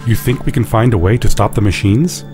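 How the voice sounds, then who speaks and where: A man's voice: lowish voice, a little fast, a little mellow, close to an everyday voice. A man acting a plain-spoken, questioning spaceship crewman in a science fiction audio drama. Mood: uneasy, practical. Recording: music clearly under the dialogue.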